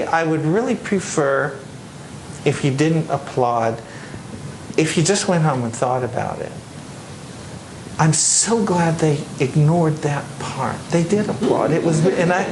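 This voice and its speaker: A man's voice: medium pitch, vocal fry. Man telling stories in an echoey hall. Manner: warm anecdotal storytelling with frequent restarts. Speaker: composer speaking at a panel. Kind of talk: casual talk answering audience questions. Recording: echoey room with noise.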